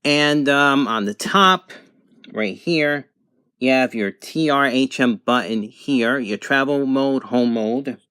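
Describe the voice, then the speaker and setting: A man presents a hands-on product review in a mid-pitched voice. His delivery is halting and matter-of-fact, like someone explaining features as he finds them. The sound is dry, with little room to it. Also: sharp tone, clean tone, no echo. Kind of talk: unscripted product demonstration.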